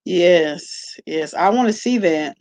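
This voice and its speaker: A woman's voice: lowish voice, lively intonation, slow pace, a little mellow. A woman hosting a casual livestream, talking to viewers in an informal, slangy, conversational way. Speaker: live-stream host reacting to online gossip.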